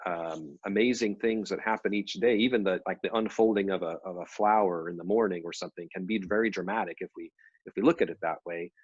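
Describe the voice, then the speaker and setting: A man co-hosting a casual, reflective discussion, speaking in a measured, agreeable way with thoughtful pauses. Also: low-pitched, husky, highs cut off. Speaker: co-host of a family read-along discussion.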